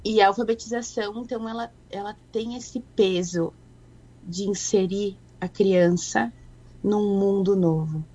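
A woman, explaining literacy as a guest in a relaxed, reflective way. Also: medium pitch, a little slow, breathy edge, highs cut off. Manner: reflective, thoughtful. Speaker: guest educator. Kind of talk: conversational explanation.

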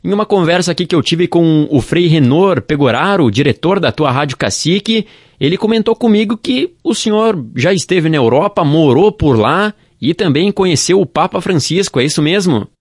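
A man's voice: fairly high pitch, lively intonation, moderate pace, dry recording, clean studio sound. A man with a southern Brazilian accent from Rio Grande do Sul, interviewing politely on local radio.

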